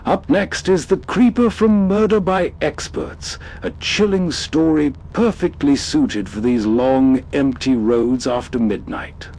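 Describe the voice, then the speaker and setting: A man hosting with scripted, atmospheric narration in an unhurried, evocative manner. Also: fairly high pitch, a little mellow. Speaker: host.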